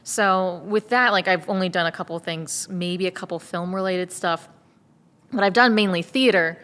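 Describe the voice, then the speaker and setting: A woman voice actor chatting casually about her craft in a giggly, animated way. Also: on the low side, moderate pace. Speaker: voice actor with theater background. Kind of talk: casual chat about acting process.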